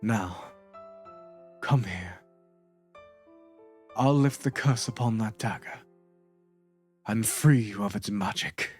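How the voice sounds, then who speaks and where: Man playing a slow-spoken lovestruck earnest prince. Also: on the low side, soft background music. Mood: resolute, tender. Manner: earnest, tender. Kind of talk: romantic theatrical acting in a fan dub.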